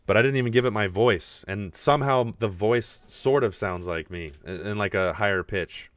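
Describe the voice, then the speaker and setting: A man with a deep, low voice talks casually on his own podcast. He gets animated and thinks aloud, often restarting his sentences. The sound is dry, with no room echo. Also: moderate pace. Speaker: podcast host. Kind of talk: casual live podcast talk.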